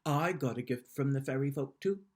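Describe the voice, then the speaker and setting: A storyteller voicing a bossy, jealous older sister in a children's folk tale, demanding and loud. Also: mid-range voice, normal speed, husky, lightly acted.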